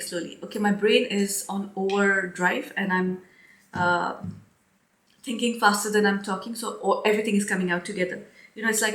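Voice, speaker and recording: A young woman chatting casually to her viewers as a perfume reviewer, rambling and laughing often, her voice slightly hoarse with a stuffy nose. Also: medium pitch, a bit bright. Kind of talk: casual chat to camera. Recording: echoey room.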